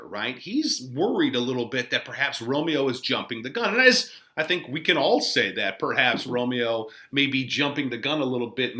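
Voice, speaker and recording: A man giving informal, colloquial commentary as a literature teacher, in an expressive conversational manner. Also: mid-range voice.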